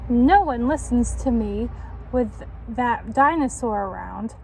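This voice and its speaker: A jealous schoolboy from a storybook as a woman reads him aloud, sure he knows everything. He grumbles and schemes with slow determination, in a fairly high voice. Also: animated, gravelly, mellow, slight background noise, heavily acted, poor audio. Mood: resentful, scheming.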